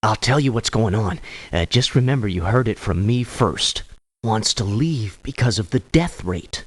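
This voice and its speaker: A man playing a proud, wry hired mercenary in a video game, with short, deliberate lines. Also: fairly low pitch, animated, no room sound. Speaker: mercenary character in a strategy game.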